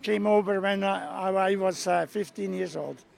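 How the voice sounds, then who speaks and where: An older man from Switzerland with a Swiss accent, an alphorn player answering questions in a friendly, plain-spoken, halting way at an outdoor festival. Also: sits high, fairly even intonation, vocal fry. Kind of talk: casual outdoor interview.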